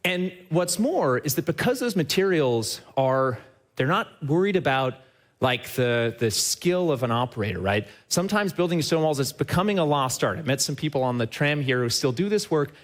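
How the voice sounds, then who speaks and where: A man who heads a company, giving a lively talk on a trade show stage and speaking straight to the audience, often tagging his points with a quick question. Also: on the high side. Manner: upbeat and enthusiastic, often checks in with a tag question; addresses the audience directly. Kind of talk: live conference presentation.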